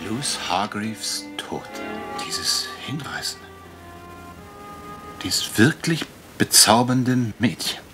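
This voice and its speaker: A man's voice: fairly low pitch, expressive, drawn-out words, pauses often, sharp tone, faint music behind, heavily acted. A man acting a gallant, slightly bumbling private detective in a German radio crime drama, with theatrical, hesitant flourishes. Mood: shaken.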